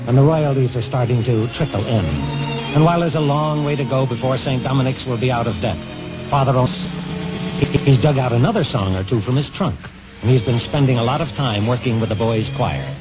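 A man's voice: mid-range voice, brisk. A man delivering scripted narration as an announcer in 1950s radio style. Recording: muffled 1950s radio recording, loud music. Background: loud music.

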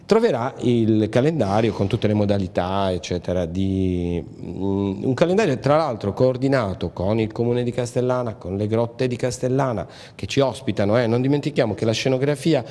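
A middle-aged man jokes and rambles through an interview. His voice is low and dark. He speaks into a handheld mic in a hall with a long tail of reverb. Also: expressive, moderate pace, hardly any pauses. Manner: joking, rambling, with many fillers. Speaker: show producer. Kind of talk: casual interview talk. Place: indoor hall.

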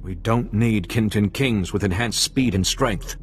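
A lowish male voice from an actor playing a diplomat in a game. He is courteous and formal, with an earnest, troubled air. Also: a little slow, faint music behind, lightly acted. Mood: grave. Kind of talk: video game voice acting.

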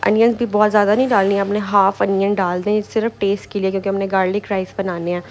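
A woman's voice: medium pitch, flat delivery, fast talker, darkish tone, a little hiss or hum. A woman giving a casual step-by-step recipe voice-over as a home cooking host, informal and repetitive, mixing in English kitchen words.

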